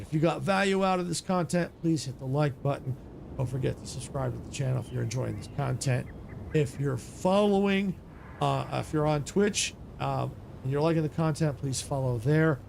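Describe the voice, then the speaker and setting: Gravelly-voiced man streamer signing off. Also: mid-range voice, a little mellow, a little hiss or hum. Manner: rambling, many restarts and fillers. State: voice getting raspy. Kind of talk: casual stream sign-off chat.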